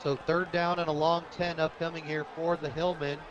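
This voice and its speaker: A man's voice: on the high side, level tone, moderate pace, highs cut off. An American man calling a football game live on radio as play-by-play announcer, in short, clipped, vivid phrases. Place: outdoors at a football stadium. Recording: noisy outdoor stadium broadcast.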